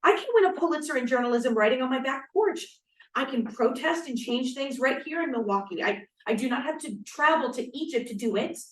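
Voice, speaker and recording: Young 1940s homebody bride woman actor, sincere, higher-voiced, read-through in a reverberant room. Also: expressive, brisk. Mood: defiant. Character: earnest young 1940s bride-to-be longing for home and family. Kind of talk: script read-through acting. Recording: distant, echoey room microphone.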